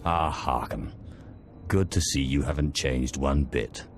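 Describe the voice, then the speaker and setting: Very deep-voiced, imperious dark lord. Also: male voice, a little slow, vocal fry, faint music behind, heavily acted. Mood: cold, dry. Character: commanding, vengeful male Sith lord. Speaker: Sith Lord protagonist. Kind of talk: video game cutscene acting.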